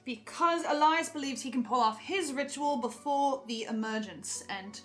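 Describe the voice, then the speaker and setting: A woman's voice: high voice, normal speed, fairly bright tone. A woman in an in-character panel discussion, speaking in a hesitant, stammering, defensive way. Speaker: podcast panelist in character as a researcher. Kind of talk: semi-improvised in-character discussion of a horror audio drama. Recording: music playing behind the voice. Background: music.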